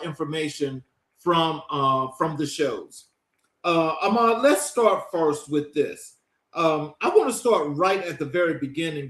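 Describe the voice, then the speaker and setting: Male show host, high voice, emphatic and dramatic, echoey room. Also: fairly bright tone. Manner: dramatic, emphatic intro delivery. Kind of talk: interview show hosting.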